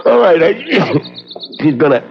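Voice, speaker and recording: A menacing but reluctant lodger who is ill and short of breath. He talks slowly in old radio drama style, on a muffled recording with plenty of noise. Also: on the high side, very animated, creaky, heavily acted. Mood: sick, desperate. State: ill, winded, coughing. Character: sick, menacing male lodger, reluctant hired watcher.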